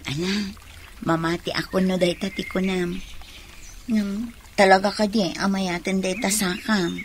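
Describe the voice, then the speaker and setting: A woman's voice: medium pitch, steady tone, a little slow, slight background noise, natural voice. A woman playing a hesitant young woman being courted in an Ilocano radio drama.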